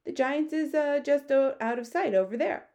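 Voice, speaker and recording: Earnest, whimsical giant played by a female reader for a children's storybook, muddled speech, raised pitch. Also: moderate pace, warm tone. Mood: cautioning. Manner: muddled grammar and nonsense words.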